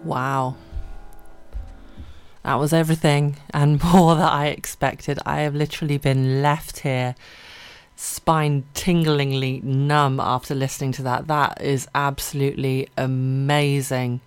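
A woman's voice: extremely low pitch, little pitch movement, a little slow, clean tone, no echo, faint music behind. A woman presenting live radio in an effusive, informal way. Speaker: radio host.

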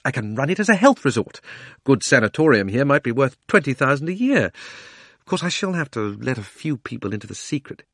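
A man voicing a pompous, greedy elderly magician in his sixties, blustering and gloating with shrill exclamations. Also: sing-song, a little fast, no echo, clean studio sound. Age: 60s.

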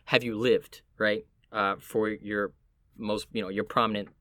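A man from Miami with a Puerto Rican family, talking casually and reflectively, often halting as he searches for words. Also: lowish voice, little pitch movement, sharp tone, no room sound.

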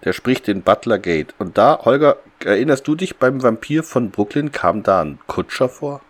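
A man's voice: medium pitch, lively intonation, normal speed. A man co-hosting a podcast, chatting casually and bluntly about an audio drama in informal language. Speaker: podcast co-host.

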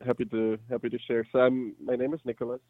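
A man's voice: medium pitch, level tone, fast talker, dry recording, narrow, tinny audio. A man in his thirties with a slight German accent, telling his story casually as an interview guest. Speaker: interview guest, startup entrepreneur.

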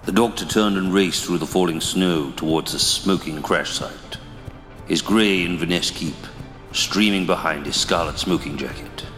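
A man's voice: sits low, moderate pace, fairly bright tone. A man reading scripted narration for an audio drama, as the storyteller between scenes. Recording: echoey long reverb, music behind.

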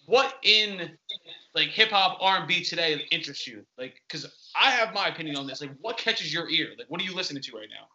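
A man's voice: fairly high pitch, expressive, fairly quick, sharp tone, lo-fi sound. A man hosting a podcast interview, talking loosely and swearing a lot as he rambles. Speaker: podcast host. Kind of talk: casual interview chat.

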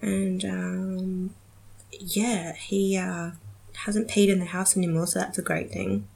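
A woman's voice: on the low side, slow, creaky, darkish tone, slightly rough. A young woman hosting a casual solo podcast, chatty and giggly with many ums, sounding slightly nasal from a cold. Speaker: podcast host.

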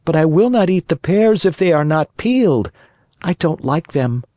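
A man's voice: a little high, sing-song, normal speed, mellow, no room sound, clean studio sound, pitched above the performer's own voice. A man voicing a hungry, stubborn wooden puppet boy from a storybook, with a childish, complaining manner. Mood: petulant.

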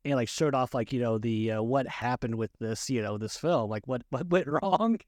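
A man's voice: mid-range voice, moderate pace, a little mellow, no room sound, clean studio sound. A man co-hosting a casual chat about films, often chuckling as he talks. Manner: chuckles often while talking. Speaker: podcast co-host.

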